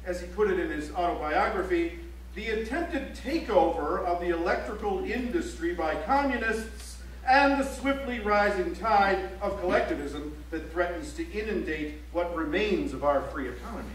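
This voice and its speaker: Man acting a high-pitched male chronicler, echoey. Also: animated, normal speed. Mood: dry, declamatory. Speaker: stage actor. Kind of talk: theatrical scripted documentary narration. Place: library auditorium stage, recorded from the audience. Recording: echoey auditorium, distant mic, faint hum.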